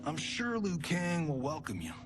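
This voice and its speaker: A man's voice: a little high, sing-song, normal speed. A man voicing a cocky, wisecracking rich guy with a flippant, casual manner in a video game. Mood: breezy. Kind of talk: video game cutscene acting. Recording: music behind the voice.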